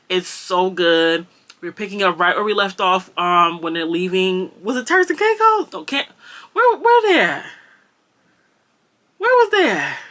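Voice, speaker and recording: A woman's voice: on the low side, bright, forceful tone. A young woman with a slight Jamaican accent, chatting casually through a book wrap-up and rambling with lots of ums and likes. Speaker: BookTuber.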